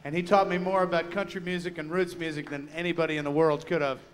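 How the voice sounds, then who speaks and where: A middle-aged man introduces a guest loudly and earnestly from a club stage. His voice is pitched high for a man, and he talks into a stand mic. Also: little pitch movement, quick pace. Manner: loud, earnest announcer manner. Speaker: bass player. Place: nightclub stage. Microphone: vocal mic on a stand.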